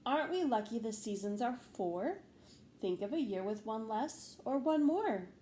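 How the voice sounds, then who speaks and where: A woman voicing a calm, dreamy little male field mouse poet in a storybook, speaking slowly and simply. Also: on the high side, echoey room, slight background noise. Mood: reciting. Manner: slow, deliberate, simple delivery.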